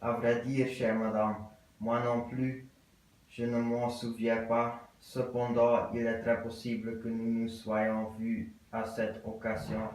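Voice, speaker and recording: A man playing a stiff English gentleman who speaks with ceremonious, formal courtesy and repeats himself at a slow pace. The voice fills a very reverberant room and is picked up from far away. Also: medium pitch, completely flat delivery, a little hiss or hum, close to an everyday voice. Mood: ceremoniously curious. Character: stiff polite English gentleman, middle-aged, curious. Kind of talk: theatre acting of an absurd play.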